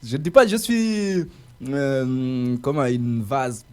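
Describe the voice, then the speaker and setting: A young man with a warm, mellow voice tells his story in a relaxed, chatty way. He talks with earnest conviction and keeps dropping casual conversational fillers as he goes. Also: mid-range voice, takes it slowly, smooth. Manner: earnest, colloquial, heavy use of "tu vois" and "quoi" fillers. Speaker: radio presenter interviewed as guest.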